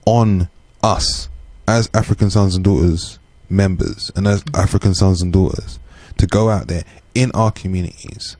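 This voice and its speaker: A British man speaking as a guest in a conversational radio interview, earnest and measured. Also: sits low, mellow, dry recording. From UK, born to Caribbean parents. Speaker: guest, community organisation chair.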